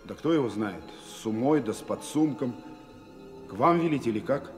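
A plain-spoken soldier acted by a man in an old radio play. He talks in brusque, colloquial bursts at a middle pitch. Music plays clearly behind him. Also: lively intonation, moderate pace, close to an everyday voice. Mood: gruff reporting. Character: rough Red Army sentry, plain-spoken soldier. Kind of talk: Soviet radio play acting.

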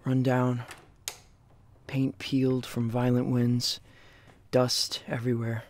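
A man's voice: mid-range voice, monotone, takes it slowly, a little mellow, no room sound. A young man acting as a weary, reflective survivor of a post-apocalyptic world in an audio drama. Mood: somber, reflective.

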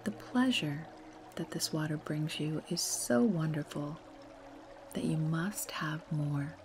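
A woman leading a guided meditation in a soft, breathy, soothing and unhurried way. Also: sits low. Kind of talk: slow guided meditation narration. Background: meditation music.

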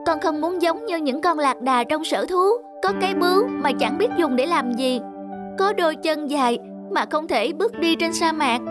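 A woman's voice: sits high, normal speed, music in the background, close to an everyday voice. A woman voicing a timid, earnest young girl in a cartoon. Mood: earnest pleading. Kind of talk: animated cartoon dubbing.

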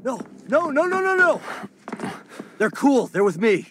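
A man's voice: very high voice, very animated, a little slow, fairly bright tone, soft background music, heavily acted. An actor playing an earnest, anxious and caring young man in a video game cutscene. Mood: urgent, alarmed. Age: young adult.

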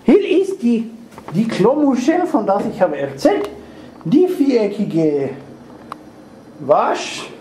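A young man playing a silly foreign tour guide in halting, mock-formal broken German, over-explaining everything to the camera. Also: very high voice, very animated, dark tone, full character voice. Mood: mock-earnest. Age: young adult. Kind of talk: comic room tour to camera. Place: small tiled hotel bathroom. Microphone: handheld camcorder. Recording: echoey tiled bathroom, noisy camcorder.

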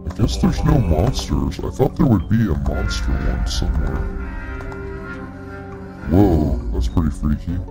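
A man's extremely deep, monster-like voice, altered by a voice changer, gives casual live game commentary. Game music plays behind him as he talks. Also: very animated, a little slow. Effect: voice changer, deep monster-like pitch shift. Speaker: game streamer.

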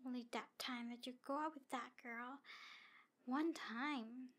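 Slow, high-pitched obsessive demon girl acted by a woman. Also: fairly even intonation, pushed and bright, full character voice. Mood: jealous, menacing. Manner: breathy, halting delivery with whispered phrases and giggles. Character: jealous, obsessive female demon in love, taunting and wounded. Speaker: audio roleplay performer. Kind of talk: ASMR roleplay.

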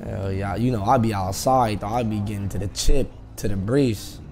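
A man's voice: fairly low pitch, normal speed, crowd noise. A man streaming a roleplay video game, chatting casually in slangy, profane street talk over noisy audio. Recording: noisy, vehicle sounds behind voice.

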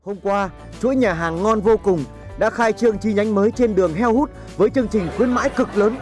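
A woman's voice: medium pitch, fairly quick, music in the background. A woman reading scripted mock-news narration as the narrator.

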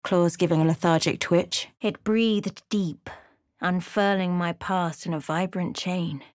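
A woman's voice: on the low side, fairly even intonation, unhurried, darkish tone, no echo, natural voice. Woman acting a watchful adventuring woman, dry close recording. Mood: measured, evocative. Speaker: game character voice actor. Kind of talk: video game voice lines, narration and barks.

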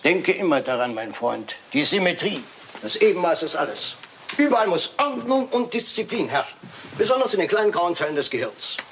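An actor playing a fastidious, shrewd Belgian detective in a German crime radio play, speaking precisely and probingly with French words sprinkled in. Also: male voice, fairly high pitch, very animated, a bit bright, slight background noise. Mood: self-assured.

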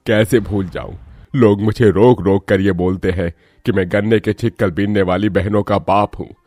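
A man acts out a poor village father in a cartoon moral tale, proud and wounded. He scolds with reproachful questions in a lowish voice, over music. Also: steady tone, normal speed, darkish tone, no echo, clean studio sound, lightly acted. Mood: hurt, reproachful.

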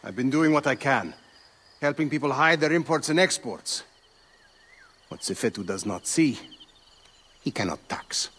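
An actor playing a boastful, embittered harbor master and smuggler in a video game, husky and indignant. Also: male voice, mid-range voice, animated, moderate pace, pauses often, fairly bright tone, big-room sound. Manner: theatrical, indignant. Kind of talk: video game cutscene acting.

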